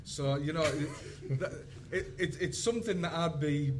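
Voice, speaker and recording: A man's voice: on the high side, measured pace. Informal man chairing a noisy panel. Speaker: panel moderator and crime writer. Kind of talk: casual panel discussion.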